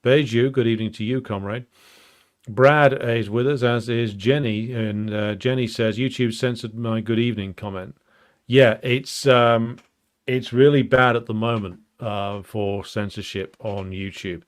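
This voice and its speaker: A man hosting a live stream, chatting casually and reading out viewer comments, halting with many ums and uhs. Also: medium pitch, fairly even intonation. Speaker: livestream host.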